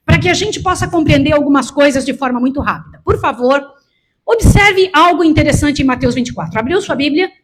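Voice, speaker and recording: A sixty-year-old Brazilian woman preaching a sermon, emphatic and repetitive, urging her listeners with direct commands. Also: high-pitched. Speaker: preacher, missionary. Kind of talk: sermon to a church congregation.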